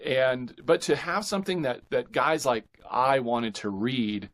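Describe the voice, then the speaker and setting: A man speaking as a guest author in a conversational interview, haltingly, thinking his answers through as he goes. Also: mid-range voice, fairly bright tone.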